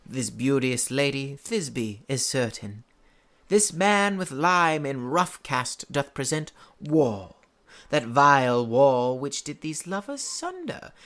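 An actor playing an earnest amateur player who declaims a pompous prologue with halting, misplaced pauses in a Shakespeare radio play. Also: mid-range voice, sing-song, fairly bright tone. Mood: pompous, theatrical.

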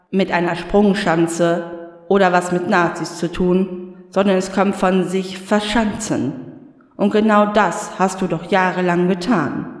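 A man voicing Death as a calm, patient spirit who speaks in a measured, dryly stern way. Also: high voice. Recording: echoey room with long reverb.

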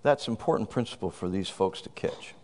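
A man's voice: on the low side, lively intonation, a little fast. A man in his fifties preaching a sermon, exuberant and calling out praise. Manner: exuberant, calls out praise and shouts "woo". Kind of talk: sermon with a congregant. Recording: reverberant hall.

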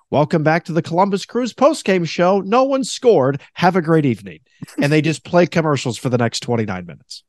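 Give me a deep-voiced former radio man chatting on a podcast, lively and expressive, fairly high. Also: dry recording, clean studio sound. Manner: animated, breaks into high mocking impressions of radio announcers. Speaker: podcast host. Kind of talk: casual podcast chat.

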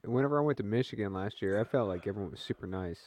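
A man's voice: lowish voice, very fast, a little mellow. A man with an American accent co-hosting a podcast in casual, joking conversation. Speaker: podcast co-host.

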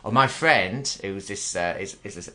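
A man's voice: low-pitched, lively intonation, moderate pace, sharp tone. A middle-aged man with a Yorkshire accent, a DJ co-hosting a podcast in a casual, teasing way, laughing easily. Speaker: podcast co-host and DJ.

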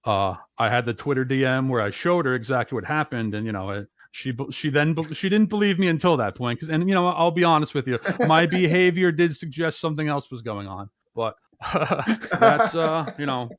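Loud bass-voiced man from New Jersey. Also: lively intonation, a little fast, dry recording. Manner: rambling storyteller, lots of "you know" and restarts. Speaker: podcast co-host. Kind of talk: casual podcast chat.

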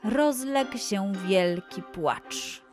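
A woman storyteller narrating a scripted children's tale in an expressive, deliberate way. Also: medium pitch, over music.

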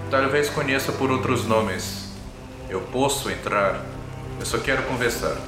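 A man voicing a calm, formal, condescending male vampire in a tabletop game. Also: medium pitch, unhurried, close to an everyday voice. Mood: calm, grave. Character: calm, irritated, imperious male vampire with European accent. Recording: roomy echo, music underneath.

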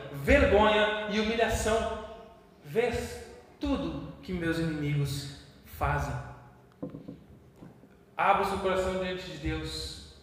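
High-voiced preacher in an echoey hall. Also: male voice. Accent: Brazilian Portuguese. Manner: impassioned preaching, swinging between hushed and loud. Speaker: pastor. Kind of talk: sermon. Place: church hall. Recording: echoey church hall with long reverb.

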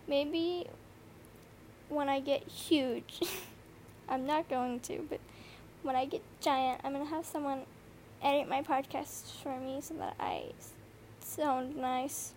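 Teen girl, slight lisp, high voice, rambling and sleepy; earbud mic in a noisy bedroom with a faint hiss. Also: steady tone, takes it slowly, pauses often, a little mellow. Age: teens. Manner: rambling, swears often, lots of fillers. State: tired from lack of sleep. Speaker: podcast host. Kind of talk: casual solo podcast chat. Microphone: earbud microphone.

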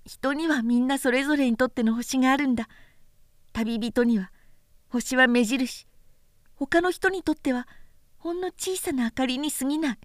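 Storybook boy prince, played by a woman: small, gentle, wistful; high, soft childlike delivery, very dry sound. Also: normal speed, no room sound, natural voice. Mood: tender, earnest. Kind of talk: storybook character voice in a literary recitation.

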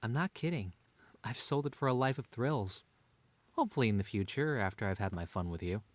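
A man's voice: medium pitch, lively intonation, fairly quick, no echo, natural voice. A man playing a playfully bickering, doting stay-at-home dad in an audio drama, wry but earnest. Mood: wry, serious. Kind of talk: two-hander audio drama reading.

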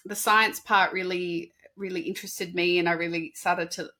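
A woman's voice: fairly low pitch, normal speed. A woman from Sydney with an Australian accent, a dietitian talking casually and chattily as a podcast guest. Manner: chatty, animated, with laughs and restarts. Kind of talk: casual interview talk.

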